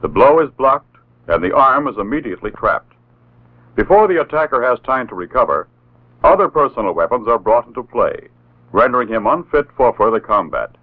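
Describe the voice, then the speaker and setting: An American man narrating a 1960s instructional film script in a formal, authoritative, measured way. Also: mid-range voice, very animated. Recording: tinny narrow-band old film soundtrack.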